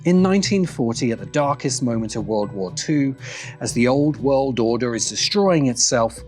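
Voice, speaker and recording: A man narrating a scripted video essay in a deliberate way, with dramatic pauses. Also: medium pitch, lively intonation, a little mellow. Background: background music.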